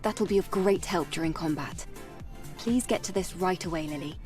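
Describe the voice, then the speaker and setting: A woman's voice: lowish voice, moderate pace, darkish tone, lightly acted. Woman playing a composed, resolute young soldier. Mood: calm. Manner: composed, determined, clipped. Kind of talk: video game voice acting. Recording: slightly distant voice over music.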